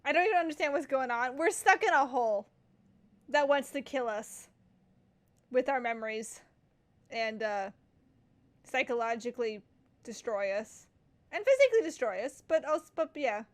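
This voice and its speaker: Woman, high-pitched, casual gaming commentary, chatty, informal, thinking aloud. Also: animated, normal speed, long pauses. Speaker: gamer reacting to cutscenes.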